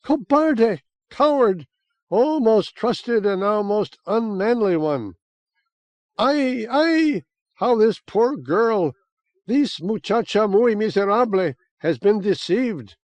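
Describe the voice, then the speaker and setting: A man voicing a dramatic young revolutionary woman in an audiobook, with shrill theatrical wailing. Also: expressive, measured pace, few pauses, darkish tone, no room sound. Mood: outraged.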